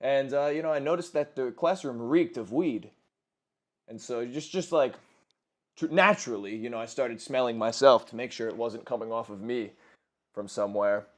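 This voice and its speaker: A man's voice: mid-range voice, moderate pace. A man in his twenties telling crude, rambling stories with lots of swearing and self-corrections over gameplay. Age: 20s. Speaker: gaming commentator. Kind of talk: casual storytelling over gameplay.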